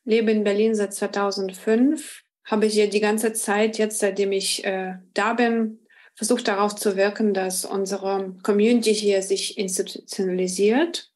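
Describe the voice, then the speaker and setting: A woman's voice: mid-range voice, little pitch movement, measured pace, darkish tone. A woman from Ukraine, giving spontaneous interview answers and searching for words at times. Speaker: interview guest, chair of an association.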